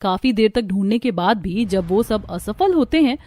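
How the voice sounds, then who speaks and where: A woman storyteller reading scripted narration for an animated tale. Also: medium pitch, normal speed, no echo.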